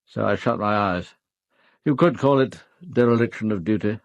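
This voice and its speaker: An actor playing a guarded, middle-aged British former army officer in a radio drama, speaking in a clipped, restrained way. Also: male voice, fairly low pitch, normal speed, vocal fry, no echo, muffled recording. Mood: grimly confessional.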